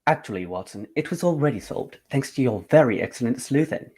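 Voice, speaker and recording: A man playing a confident, methodical gentleman detective in an old-time radio drama, with a formal, theatrical delivery. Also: mid-range voice, lively intonation, fairly quick, breathy edge. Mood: reflective.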